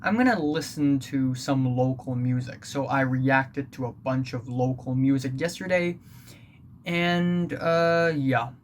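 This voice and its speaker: A man's voice: medium pitch, measured pace, slight background noise. A teenage man from Hong Kong with a Hong Kong accent, Cantonese his first language, rambling through a blunt, casual album review with lots of fillers. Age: teens. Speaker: music reviewer.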